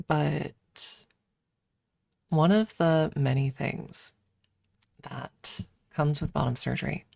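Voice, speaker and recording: A woman's voice: low-pitched, gravelly, darkish tone, dry recording. A woman streamer chatting casually on an ASMR stream, rambling and candid as she explains things, close to the microphone. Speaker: livestream host and ASMR streamer.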